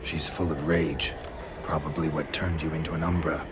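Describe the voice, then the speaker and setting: A stoic monster hunter from a fantasy game. His voice is extremely low, and he speaks in blunt, clipped sentences without fuss. Loud noise sits behind the voice. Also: flat delivery, moderate pace. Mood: stoic, probing. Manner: terse, matter-of-fact, clipped sentences. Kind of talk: restrained video game acting.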